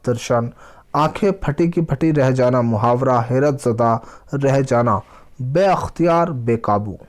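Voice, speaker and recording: A man teaching a children's language lesson, reading aloud and explaining, deliberately pausing and repeating words and phrases. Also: mid-range voice.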